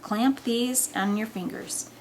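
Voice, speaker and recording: A woman voicing a bossy, excitable, nerdy boy scientist in a story reading. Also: on the high side, slow, a little hiss or hum, poor audio. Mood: bossy, precise.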